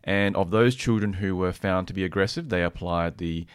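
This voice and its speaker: Very deep-voiced man explaining research. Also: clear, resonant tone. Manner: measured, explanatory, tentative when giving opinions. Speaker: podcast co-presenter, practising therapist.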